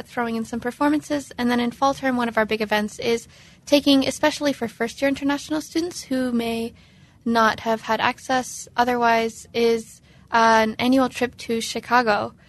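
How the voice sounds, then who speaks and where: Young female student, higher pitch, casual and filler-heavy, radio studio guest. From Denver, Colorado. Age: young adult. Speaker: student club co-president.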